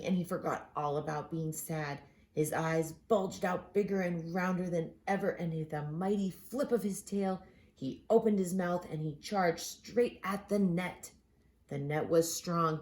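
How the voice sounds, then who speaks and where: A woman reading a children's picture book aloud expressively, with dramatic pauses and drawn-out words for emphasis. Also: lowish voice, darkish tone. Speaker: children's storybook reader.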